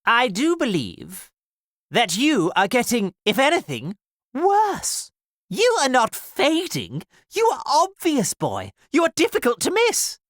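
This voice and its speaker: An actress playing a haughty, exasperated teacher in an audiobook drama, precise and disapproving. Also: female voice, high voice, huge pitch swings, slow, dry recording, studio quality, heavily acted.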